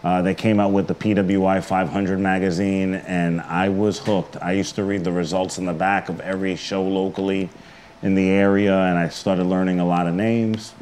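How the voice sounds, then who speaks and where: A man's voice: low-pitched, flat delivery, moderate pace, a little mellow, a little hiss or hum. A middle-aged man with a New York accent, chatting casually and informally as a wrestler being interviewed in a studio. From the Bronx, New York. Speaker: interview guest, pro wrestler. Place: indoor studio.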